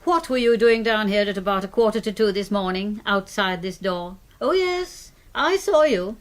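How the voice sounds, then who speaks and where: Woman acting a dry, lively British lady doctor. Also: medium pitch, moderate pace, natural voice. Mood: puzzled, probing. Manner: dry, articulate, ironic. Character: composed, dryly sardonic British woman doctor. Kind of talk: radio drama acting.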